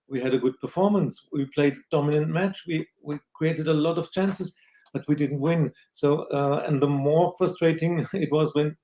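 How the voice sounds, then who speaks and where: Flat-voiced man, hesitant regular guest. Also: a little high, rough recording. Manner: hesitant, many "uh" and repeated words. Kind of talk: casual football chat.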